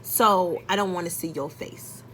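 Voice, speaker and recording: A woman's voice: fairly low pitch, unhurried, fairly bright tone, a little hiss or hum, low-quality recording. A young adult woman telling a personal story casually, exasperated and blunt, acting out dialogue and swearing often.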